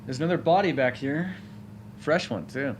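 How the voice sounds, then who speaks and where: A man's voice: a little high, moderate pace, noisy background, natural voice. A man voicing a sarcastic, foul-mouthed vampire who is grudgingly helpful and hungry, speaking intimately to the listener in an audio roleplay. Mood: dry, grumbling. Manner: sarcastic, wry, exasperated, conversational. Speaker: roleplay voice actor.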